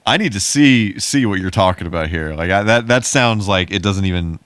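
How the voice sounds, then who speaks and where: Low-voiced man chatting casually. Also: animated, moderate pace, fairly bright tone, clean tone, no echo. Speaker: podcast co-host. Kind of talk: casual chat.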